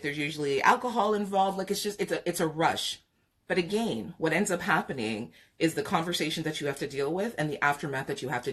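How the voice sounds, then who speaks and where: Jamaican woman, podcast host, frank and chatty, low husky voice. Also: a bit bright. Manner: frank, opinionated, chatty, with frequent fillers. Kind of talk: live chat talk show, reading viewer comments.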